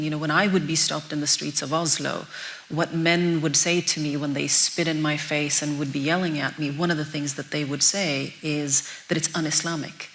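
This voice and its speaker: A woman's voice: sits low, fairly bright tone, low-quality recording. A young woman from Norway, a documentary filmmaker, answering interview questions in an earnest, reflective way with frequent restarts. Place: event venue. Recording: faint hiss.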